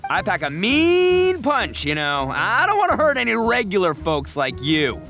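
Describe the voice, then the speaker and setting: A man's voice: high-pitched, wildly swooping pitch, a little slow, vocal fry, sharp tone, faint music behind, full character voice. A man playing a brash, big-hearted young oni gang boss in a video game, blustering and theatrical. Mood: defiant.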